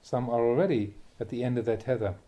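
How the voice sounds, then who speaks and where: A man, a Buddhist monk, reads a translated spiritual talk aloud in a measured, emphatic way, posing rhetorical questions. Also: medium pitch, dark tone. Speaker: Buddhist monk reading a teacher's talks aloud. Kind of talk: reading a translated Dharma talk aloud, with brief asides.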